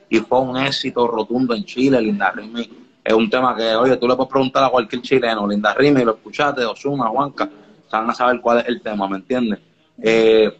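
A man talks easily as a guest in a casual interview, often checking that the listener follows along. His voice sits at an ordinary pitch for a man. The recording sounds dull and lo-fi. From Dominican Republic. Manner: laid-back, keeps asking "you get me?". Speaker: music producer guest.

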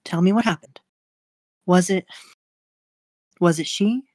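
A woman voicing a caring mother who speaks in a soothing, hushed way. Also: lowish voice, takes it slowly, dry recording, clean studio sound, pitched above the performer's own voice. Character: caring mother, gentle, fierce when angered.

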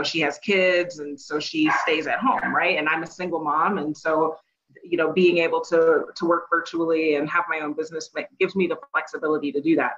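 A woman's voice: low voice, lo-fi sound, a little hiss or hum. A middle-aged woman who runs a bookkeeping firm, chatting as a guest on a live stream. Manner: chatty, relaxed, laughs easily. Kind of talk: casual live-stream chat.

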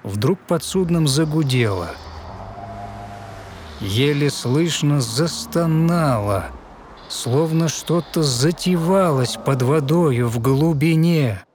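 A man narrating a fairy tale in verse with measured, theatrical, expressive recitation. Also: a little high, a little hiss or hum.

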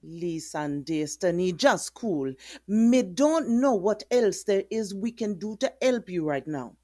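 An actress with a Jamaican accent playing a caring, protective, plain-spoken neighbour in an audio drama. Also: female voice, medium pitch, lively intonation, slow, darkish tone, no echo, clean studio sound. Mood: concerned, soothing.

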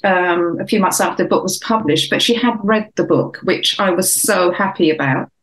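Older English woman, softened London accent, rambling guest storyteller, roomy echo. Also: on the low side, normal speed. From England. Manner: reflective, rambling with many restarts. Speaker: author and guest. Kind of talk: casual interview chat.

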